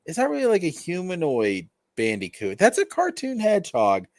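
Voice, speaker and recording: A man's voice: sits high, wildly swooping pitch, normal speed, no room sound, clean studio sound. A man in a casual gaming chat, talking in a bombastic, emphatic and profane way.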